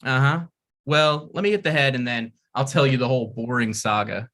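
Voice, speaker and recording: A man's voice: mid-range voice, brisk, fairly bright tone. A man reading his lines at a script table read, hesitant and self-deprecating, with stammers and restarts.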